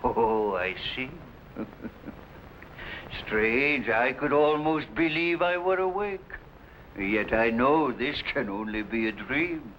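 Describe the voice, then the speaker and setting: A man playing a despondent great inventor-artist in halting, theatrical 1950s drama style. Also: mid-range voice, animated, a bit bright, a little hiss or hum, low-quality recording. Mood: wondering. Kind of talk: 1950s television drama acting.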